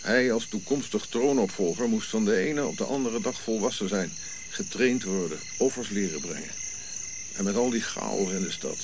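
A reflective African prince played by a man tells of his royal past in a radio play. His voice is on the low side, his phrasing eloquent and measured, with pauses. Crickets and clear background noise sit behind him. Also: close to an everyday voice. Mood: reflective, wistful. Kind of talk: audio drama narrative monologue.

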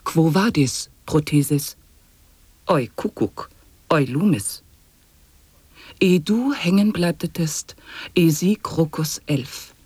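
Weird nonsense poet in an arty radio play, husky, half whispered bits, takes it slow, bone dry recording. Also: male voice, a little high, lively intonation, darkish tone, dry recording, heavily acted. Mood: incantatory, playful. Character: eccentric chanter of nonsense sound poetry. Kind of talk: avant-garde radio play sound-poetry recitation.

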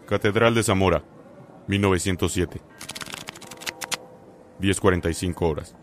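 A man's voice: sits low, normal speed, natural voice. A man reading formal scene announcements of places and times for a radio drama. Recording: music behind the voice.

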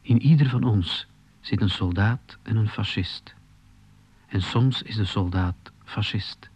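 Slow, solemn poet. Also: male voice, on the low side, darkish tone, slightly rough, no room sound. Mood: solemn, insistent. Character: earnest defiant male poet, slow and brooding. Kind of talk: poetic radio play acting. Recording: dull 1980s radio drama recording.

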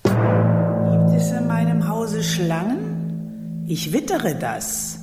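A woman's voice: a little high, very animated, very slow, loud music behind the voice, full character voice. An imperious old grandmother queen from a fairy-tale radio play, scheming and scolding. Her delivery swoops up and down in a theatrical way, and the voice rings in a long hall reverb. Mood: sly, scolding. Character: bossy, scheming old royal grandmother, a witch.

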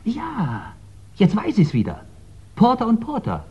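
A man's voice: high-pitched, wildly swooping pitch, a little slow, warm tone, husky, full character voice. An actor playing a helpful, chatty archive man who loves horse racing, eager and musing, in a 1960s German radio drama. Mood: excited. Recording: dull 1960s radio recording.

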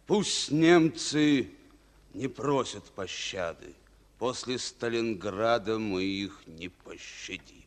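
An actor playing a stern, resolute Red Army captain of about forty in a wartime radio drama, clipped and commanding. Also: male voice, fairly low pitch, slow. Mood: solemn, resolute. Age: middle-aged. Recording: vintage radio play, reverberant hall.

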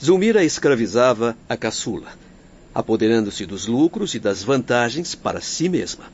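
A man's voice: medium pitch, very animated, close to an everyday voice. A man with a Brazilian accent playing a grave, compassionate doctor who solemnly recounts a life in radio drama. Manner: solemn, measured explanation. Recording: dull lo-fi, faint background noise.

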